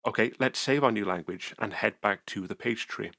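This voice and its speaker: A man calmly narrating a software tutorial screencast, explaining each step methodically. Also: fairly low pitch, moderate pace, vocal fry, no room sound.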